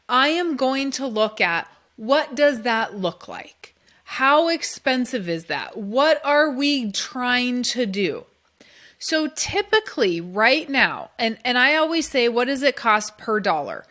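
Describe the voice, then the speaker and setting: A woman's voice: sits high, fairly bright tone. A middle-aged woman hosting her own podcast, explaining insurance in a casual, emphatic way and quoting clients along the way. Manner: emphatic, conversational, quotes clients in asides. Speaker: podcast host and insurance advisor. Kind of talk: casual solo podcast talk.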